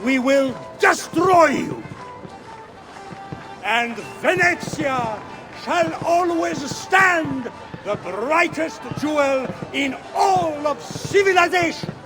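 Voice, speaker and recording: High-pitched, pompous Venetian orator. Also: male voice, lively intonation, slow, heavily acted. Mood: menacing, proud. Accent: Italian. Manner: grandiose, declamatory. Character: pompous Venetian ruler orating at a festival. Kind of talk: theatrical public speech. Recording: loud music behind the voice.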